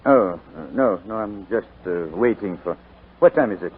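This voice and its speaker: A man's voice: on the low side, lively intonation, normal speed, husky. An actor playing a distracted, stubborn man anxiously waiting, in a 1940s radio drama. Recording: tinny, muffled 1942 radio broadcast.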